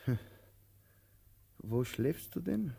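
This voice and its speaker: An actor in a German radio play, playing an aging vagabond who is anxious and hopeful, with a husky voice and halting, theatrical delivery. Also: male voice, mid-range voice, big pitch swings, full character voice. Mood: weary, subdued. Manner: halting questions, repeats himself, theatrical swings from urgent to hushed. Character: aging male vagabond, anxious, hopeful, caring for his companion.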